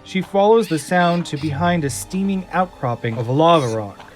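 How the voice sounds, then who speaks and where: A man's voice: fairly high pitch, expressive, moderate pace. A man delivering dramatic scripted narration as the narrator of an audio drama. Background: music and sound effects.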